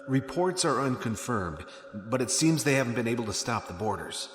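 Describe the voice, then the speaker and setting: Guy doing earnest formal space navy lieutenant, mid pitch, tense urgent reports, very echoey. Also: sing-song, moderate pace, faint music behind, close to an everyday voice. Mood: formal concern. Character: formal, dutiful male military lieutenant. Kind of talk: audio drama acting. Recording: echoey hall reverb, noisy ambience.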